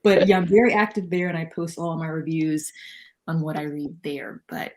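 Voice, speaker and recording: A woman's voice: deep voice, moderate pace. A woman guest talking about her reading in a conversational, informal way on a livestream. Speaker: livestream guest and book reviewer. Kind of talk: casual chat.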